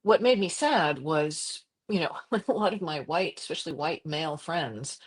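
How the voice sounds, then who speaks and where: A middle-aged woman from Croatia, a journalist guest talking passionately in an interview, with frequent restarts and quick laughs. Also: husky. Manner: animated, impassioned, jumps to high pitch for quoted voices. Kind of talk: conversational interview.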